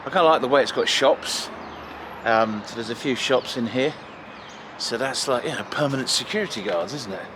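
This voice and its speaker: A middle-aged man with a British accent, chatting excitedly while walking through town streets outdoors and filming a house-hunting vlog. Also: mid-range voice, moderate pace, background noise. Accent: British English. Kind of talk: casual travel vlog. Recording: music playing underneath.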